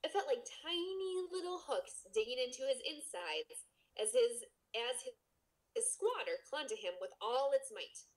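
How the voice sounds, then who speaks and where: Lively, higher-pitched woman reading aloud. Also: normal speed, rough recording. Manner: playful, expressive. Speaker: podcast co-host reading a story aloud. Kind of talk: casual read-aloud with banter.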